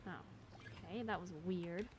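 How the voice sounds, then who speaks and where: A woman's voice: fairly low pitch, fairly even intonation, normal speed, noisy background. A woman giving casual gameplay commentary, muttering to herself and exclaiming in exasperation as she plays. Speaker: gamer recording a playthrough.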